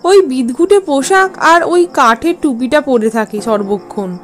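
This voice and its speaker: A firm middle-aged mother from a cartoon folk tale, put on by a woman, disapproves emphatically. Her high voice sits over faint music. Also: unhurried. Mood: dismissive. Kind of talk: cartoon fairy-tale acting.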